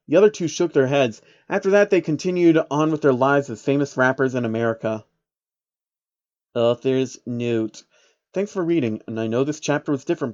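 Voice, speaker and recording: A man with a fairly high-pitched voice reads aloud as an amateur storyteller. His delivery is informal and a little halting. The recording is dull and lo-fi, lacking crispness. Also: darkish tone. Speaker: host reading fan fiction aloud. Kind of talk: amateur story narration with casual commentary. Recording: dull, lo-fi microphone sound.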